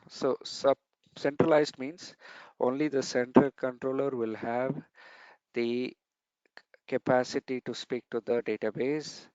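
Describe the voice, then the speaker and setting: A man's voice: mid-range voice, a little mellow, clear, resonant tone, dry recording, highs cut off. A man giving a technical training lesson over slides, explaining step by step and repeating key points.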